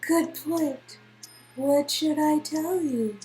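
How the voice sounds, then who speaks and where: A woman's voice: sits high, drawn-out words, soft background music, voice pushed up, rough recording. A woman voicing an awkward, earnest young tech specialist in a dramatic reading of a story game. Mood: matter-of-fact. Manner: flustered, earnest, excitable.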